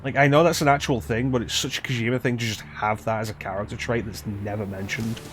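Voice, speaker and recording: A man's voice: lowish voice, steady tone, quick pace, a bit bright. Wry male game streamer, noisy background. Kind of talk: casual gameplay commentary. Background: video game audio.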